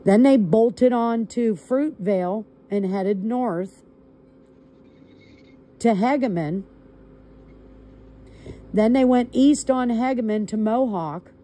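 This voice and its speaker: Slow, deliberate woman caller with a mellow voice. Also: fairly high pitch. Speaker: caller reporting to law enforcement. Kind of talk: recorded phone conversation, recounting events. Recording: recorded call.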